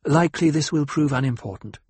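A man voicing a poised young noble lady who talks in a measured, sweetly diplomatic way. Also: fairly high pitch, a little mellow, breathy edge, no echo, pitched above the performer's own voice.

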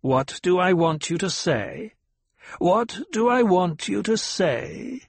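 A man voicing a cruel, demanding old woman leader in an audiobook, sneering and mocking, with a gravelly, husky edge. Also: sits high, big pitch swings, takes it slowly, no echo, voice pushed up, exaggerated voice.

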